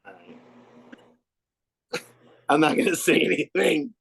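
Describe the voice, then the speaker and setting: A man's voice: high voice, sing-song, takes it slowly, vocal fry, a bit bright, husky. A man hosting a casual music interview, laid-back, asking questions and laughing easily. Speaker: podcast host.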